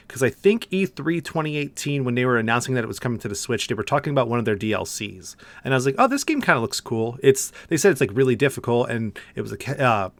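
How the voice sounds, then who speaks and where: A man's voice: medium pitch, a bit bright, no room sound. Casual, enthusiastic male podcast co-host, dry sound. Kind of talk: casual video game discussion.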